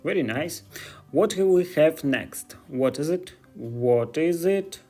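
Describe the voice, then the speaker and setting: Man in his thirties, Russian accent, curious and chatty in broken English, slightly high voice, phone-vlogging in stores. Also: expressive, slow pace, warm tone, soft background music. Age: 30s. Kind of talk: casual walking vlog. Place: stores in the city.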